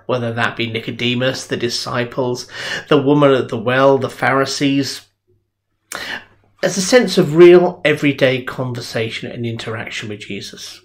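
A middle-aged man, a minister, giving a sermon in a conversational, earnest storytelling way from his home study into a webcam. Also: medium pitch. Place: home study with bookshelves, indoors. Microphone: webcam at desk.

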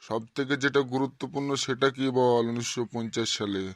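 A man's voice: mid-range voice, steady tone, fairly quick, fairly bright tone, no room sound, clean studio sound, close to an everyday voice. A man voicing a short-tempered middle-aged male schoolteacher in a comic cartoon, blunt and sarcastic with his pupils. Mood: quizzing. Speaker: cartoon voice actor.

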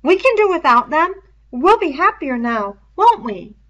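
A woman's voice: sits high, sing-song, unhurried, a bit bright, voice pushed up, full character voice. A woman voicing an anxious, eager schoolboy in a storybook reading, with ungrammatical, pleading speech, on a dull lo-fi recording. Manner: ungrammatical, pleading tag questions.

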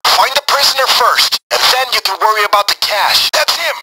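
An actor playing a brisk, commanding man who plans heists, giving clipped mission orders over the radio in a video game. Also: extremely high pitch, music in the background, low-quality recording. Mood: urgent, commanding. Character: brisk, commanding male heist planner directing a gang.

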